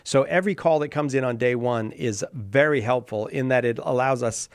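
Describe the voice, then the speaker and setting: A man's voice: mid-range voice, a little fast, clear, resonant tone, dry recording, clean studio sound. A male radio host running a live call-in show, joking and making emphatic fundraising appeals.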